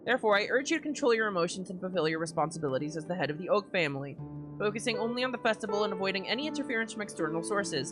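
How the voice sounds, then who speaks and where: A woman reads aloud as a stern elder of a powerful family in a video game. The delivery is formal, admonishing and quick, with small stumbles. Music is clearly audible behind it. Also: mid-range voice, lightly acted. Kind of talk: dramatic reading of game dialogue.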